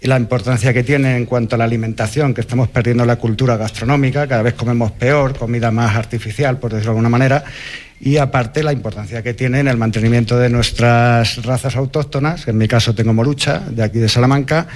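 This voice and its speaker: A middle-aged man with a Spanish accent from Spain speaks at an ordinary male pitch. He is a livestock farmer from Toledo, answering questions conversationally in an interview. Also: fairly even intonation, quick pace, a little mellow. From Toledo, La Mancha, Spain.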